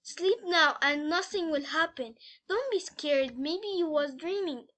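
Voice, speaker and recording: A woman's voice: sits high, a little slow, fairly bright tone. A woman voicing a sleepy, dismissive brother in a scary story, questioning and reassuring.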